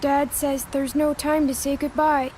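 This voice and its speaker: Earnest, high-voiced young child. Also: female voice, level tone, measured pace, a little mellow, slight background noise. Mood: earnest pleading. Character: earnest, polite young child in noir game. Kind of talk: video game voice acting.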